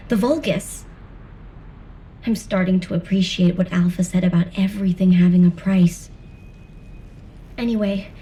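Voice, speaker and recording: A woman's voice: a little high, mellow, distant mic, a little hiss or hum, lightly acted. An actress playing a caring, earnest young female scout in a video game, talking in a friendly, encouraging way. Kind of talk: scripted video game dialogue.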